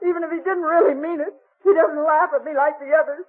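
An actress playing a desperate, defiant young frontier spinster in a 1950s radio drama, impassioned and pleading. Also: female voice, high voice, steady tone, fast talker, natural voice. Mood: desperate hope. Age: young adult. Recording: tinny, band-limited 1950s radio recording.

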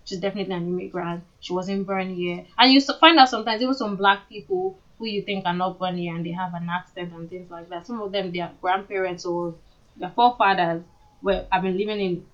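A woman's voice: fairly low pitch, a little fast, fairly bright tone, lo-fi sound. A woman with a Nigerian accent co-hosting a podcast, talking casually and earnestly as she shares her opinions. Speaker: podcast co-host. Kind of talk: casual conversational discussion.

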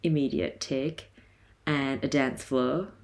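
A woman in her twenties with a hoarse, raspy voice, chatting casually and laughing often as she hosts her podcast. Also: very deep voice, fairly even intonation, slow pace. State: hoarse from a night of singing. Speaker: podcast host. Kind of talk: casual solo podcast chat.

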